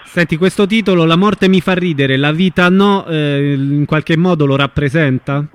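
A man's voice: fairly high pitch, normal speed, a little hiss or hum. A man hosting an informal radio interview, asking questions and feeling for his wording.

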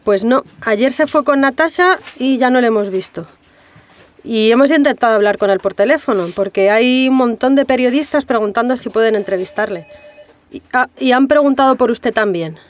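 A woman's voice: on the high side, normal speed, natural voice. A woman playing a flustered, deferential theatre employee in an audio drama. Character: flustered female theatre box-office employee.

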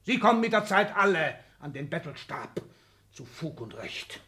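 An old industrialist patriarch with a fairly high voice, imperious and scornful. He lectures his heirs emphatically, swinging from muttering to outbursts in the manner of 1960s radio drama. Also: sing-song, moderate pace, bright, forceful tone. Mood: scornful, impatient. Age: older. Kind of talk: 1960s German radio play acting.

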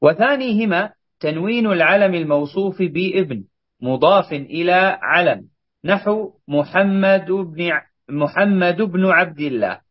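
A man, a student, reading a grammar text aloud in class at a steady pace, with small slips and restarts. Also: sits high, measured pace, dull audio.

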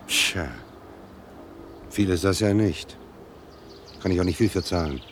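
Very deep-voiced, terse investigator. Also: male voice, unhurried, a little hiss or hum. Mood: dry, sceptical. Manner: terse, sceptical questioning. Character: dry, tight-fisted investigator buying information. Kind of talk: radio drama acting.

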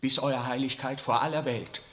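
An actor playing a defiant, passionate young priest in a 1960s radio drama. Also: male voice, medium pitch, fairly even intonation, moderate pace, fairly bright tone, big-room sound, lightly acted. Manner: earnest, defiant.

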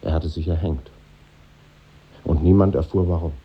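An actor playing a middle-aged man who soberly recalls his old classmates in a radio play, in a husky, measured, matter-of-fact voice. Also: extremely low pitch, steady tone, full character voice. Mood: sober, reflective. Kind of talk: 1950s radio drama narration. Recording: muffled 1950s radio recording.

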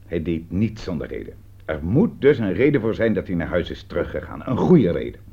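A man acting a gruff, sceptical police commissioner in a Dutch radio play, dry, sarcastic and probing. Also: low-pitched, sing-song, brisk, warm tone, full character voice. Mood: grave, probing. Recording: dull, lo-fi radio play recording.

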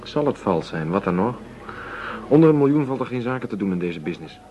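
An actor in a radio drama playing a cocky, short-tempered underworld go-between with a blunt, streetwise way of talking. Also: male voice, on the low side, sing-song, a little fast, lo-fi sound. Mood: cocky, businesslike. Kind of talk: Dutch radio drama dialogue.

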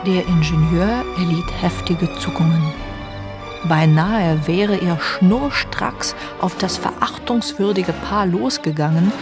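Woman narrator over music. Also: on the low side. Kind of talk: dramatic scripted story narration.

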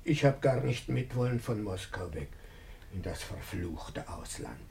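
An actor playing a fretful, devoted old Russian manservant in a German radio play, deferential and lamenting. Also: male voice, fairly low pitch, expressive, a little slow, creaky, a little mellow, a little hiss or hum. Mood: anxious, lamenting.

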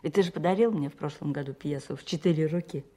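Gentle mother, low and husky. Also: fast talker. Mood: patient, coaxing. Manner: patient, persuading. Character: gentle, coaxing mother of a young boy. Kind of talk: 1970s Soviet radio play acting.

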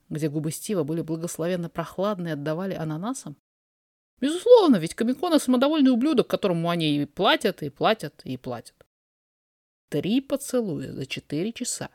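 A narrator reading a fiction story aloud in an expressive, dramatized way. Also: medium pitch, no room sound, studio quality. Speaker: podfic reader, narrator.

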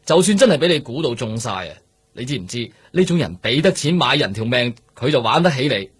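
A man acting in a Cantonese radio drama as an exasperated, grumbling middle-aged police superior, with a husky voice and Hong Kong accent. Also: medium pitch, big pitch swings, a little fast.

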